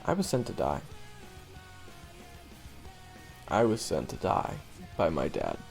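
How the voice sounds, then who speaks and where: Man playing gravelly, wry young monster hunter. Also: rumbling low, huge pitch swings, darkish tone, soft background music, heavily acted. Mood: somber, reflective. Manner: halting, casual, self-deprecating, trailing off. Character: young monster-hunting swordsman, wry, wounded, easygoing. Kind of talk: conversational audio roleplay monologue.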